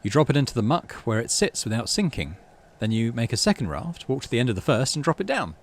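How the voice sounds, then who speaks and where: A man, middle-aged, hosting a game playthrough, mixing casual commentary with expressive story reading. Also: medium pitch, quick pace. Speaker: let's play host.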